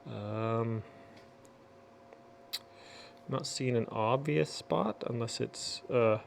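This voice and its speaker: A man's voice: medium pitch, very slow, gravelly, a little hiss or hum. A man hosting a casual product unboxing, chatting in a folksy way and muttering to himself as he works. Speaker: unboxing video host.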